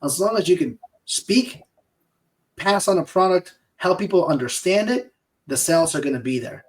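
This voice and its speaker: A man's voice: sits high, lively intonation, moderate pace, poor audio. A man in his forties from Peru with a Peruvian accent, co-hosting a casual business podcast in an upbeat, motivational way. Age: 40s. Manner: upbeat, motivational, hyping up the listener. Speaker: podcast co-host.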